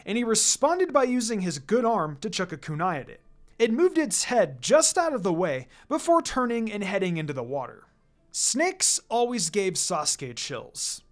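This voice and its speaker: A narrator reading fan fiction aloud in an expressive storytelling style. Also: medium pitch.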